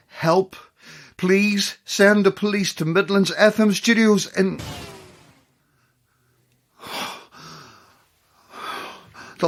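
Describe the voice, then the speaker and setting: A woman with an Irish accent playing a frightened but commanding late-night radio host in a naturalistic horror audio drama, urgent and halting. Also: medium pitch. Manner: urgent, halting, gives orders.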